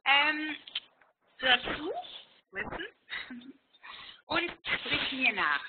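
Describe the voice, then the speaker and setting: Slow, patient German teacher on a call. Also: female voice, high voice, expressive. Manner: slow, clear teacher talk, repeating phrases. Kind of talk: online language lesson. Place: airport, indoors. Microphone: laptop on video call. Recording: tinny video call line with noise.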